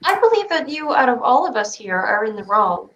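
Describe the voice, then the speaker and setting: A woman playing a stubborn, accusing child spacewalker in a theatrical script reading, measured and pointed. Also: on the high side, very animated, a bit bright, echoey room, dull audio. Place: remote online stream.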